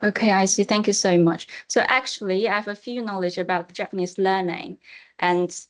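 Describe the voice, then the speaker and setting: A woman's voice: mid-range voice, fairly even intonation, moderate pace. Hesitant woman speaker answering questions. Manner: hesitant, repeats herself. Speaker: conference speaker.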